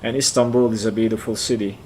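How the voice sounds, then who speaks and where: A man in his forties from central Turkey, with a Turkish accent, chatting casually on a flight sim stream, answering chat aloud and thinking out loud. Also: fairly low pitch, fairly even intonation, quick pace, a little hiss or hum, rough recording. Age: 40s.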